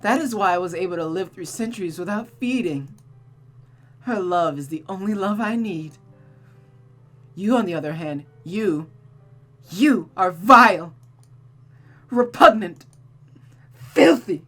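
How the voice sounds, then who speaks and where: A woman's voice: medium pitch, expressive, unhurried, long pauses, a bit bright, voice pushed up. A woman plays a cursed, tormented man from a visual novel. He is proud and contemptuous, sneering bitterly and dramatically over background music. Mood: disgusted. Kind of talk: visual novel character read-aloud.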